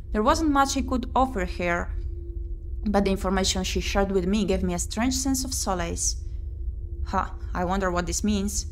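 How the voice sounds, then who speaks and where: A woman's voice: mid-range voice, slight background noise. A woman streamer playing a visual novel, reading the story text aloud expressively and adding her own asides. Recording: music behind the voice. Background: game music.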